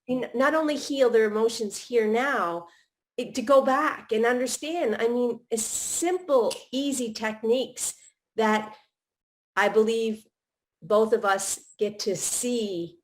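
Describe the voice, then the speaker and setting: Middle-aged woman, host, slightly high voice, loose run-on conversational delivery. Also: slow. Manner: warm-hearted, talks in long run-on thoughts with restarts. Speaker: podcast host. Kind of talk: casual live chat.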